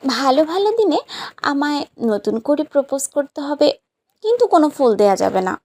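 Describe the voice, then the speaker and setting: Casting: actress as teasing, bossy young bride for romantic drama; high pitch, lively swoops, insistent. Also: female voice, brisk. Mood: demanding, dreamy. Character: playful, demanding young new bride, sweetly bossy. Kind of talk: romantic audio story acting.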